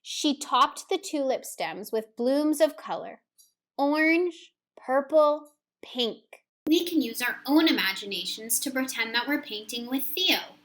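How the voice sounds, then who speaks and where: Very slow woman reading a children's story. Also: high-pitched, lively intonation, a bit bright. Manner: deliberate, careful phrasing for young children. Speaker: storybook reader. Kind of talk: children's story read-aloud, scripted narration.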